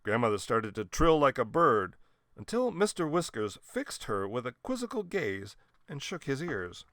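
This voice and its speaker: A man narrates a children's story from a script. His mid-pitched voice is highly animated, with expressive, dramatic emphasis. The sound is dry. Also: normal speed, no echo. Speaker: storybook reader.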